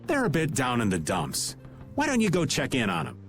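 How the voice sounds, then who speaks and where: A man's voice: medium pitch, wildly swooping pitch, a little fast, faint music behind. A man playing a roguish, easygoing middle-aged rascal in a video game, playful and world-wise. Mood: casual. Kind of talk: video game dub acting.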